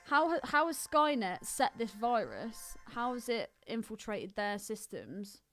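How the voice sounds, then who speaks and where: A woman's voice: fairly high pitch, big pitch swings, measured pace, fairly bright tone, faint music behind. A woman co-hosting a podcast, chatting casually and bluntly, often questioning what she hears. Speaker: podcast co-host. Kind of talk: casual unscripted film podcast chat.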